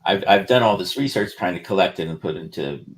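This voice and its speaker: A man explaining his inventions on a casual livestream, rambling and halting with many restarts. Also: deep voice, expressive, a little hiss or hum. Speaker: inventor presenting research.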